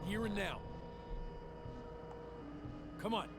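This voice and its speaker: A man's voice: sits high, very slow, very noisy. An actor playing a tense, impatient male commander of a space expedition in a dramatic video game, speaking urgently and demandingly. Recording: loud music over voice.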